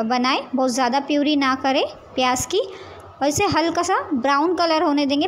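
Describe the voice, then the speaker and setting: A woman hosting a home cooking channel, calmly explaining each step of a recipe to viewers as she cooks in her kitchen. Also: sits high, slight background noise. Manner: calm, explanatory, addresses viewers directly with frequent "see this". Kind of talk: step-by-step cooking demonstration voice-over.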